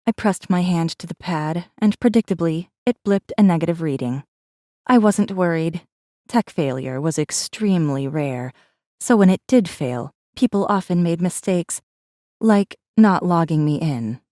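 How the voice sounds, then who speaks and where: A woman reading a first-person story as an audiobook narrator, with measured, dramatic delivery. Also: on the low side, lively intonation, mellow, no echo, studio quality.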